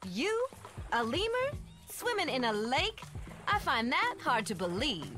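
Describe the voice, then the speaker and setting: Sassy, high-voiced hippo. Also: female voice, big pitch swings, unhurried. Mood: skeptical. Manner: sassy, no-nonsense. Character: sassy, sensible adult female hippo. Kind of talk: animated video game acting. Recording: game music behind the voice.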